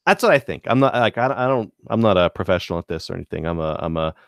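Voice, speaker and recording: A man's voice: low-pitched, animated, quick pace, creaky, dry recording, clean studio sound. A man talking casually as a podcast co-host, explaining things in stop-start phrases. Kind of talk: casual gun-hobby chat.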